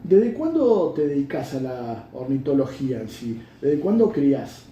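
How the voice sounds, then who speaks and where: A man's voice: medium pitch, big pitch swings, a little slow, warm tone, muffled recording, slight background noise. A Uruguayan man casually putting questions to a guest as interviewer. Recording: echoey room, distant mic.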